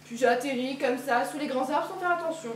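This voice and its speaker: A woman's voice: high voice, brisk, background noise, natural voice. A teenage girl playing a trusting little girl who chatters eagerly and repeats herself, in a school play. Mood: innocent. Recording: echoey hall from far off.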